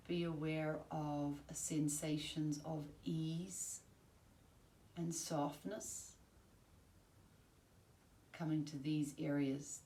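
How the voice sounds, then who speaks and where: A woman teaching yoga nidra, giving calm, soothing, measured guided-relaxation instructions with long pauses. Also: sits low. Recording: roomy, distant mic, faint background noise.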